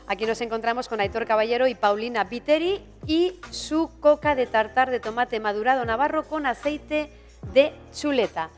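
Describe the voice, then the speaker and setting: A woman's voice: a little high, normal speed, long reverb tail, faint music behind. A woman hosting a live cooking contest, upbeat and chatty, slipping in phrases. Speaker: contest presenter. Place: auditorium stage.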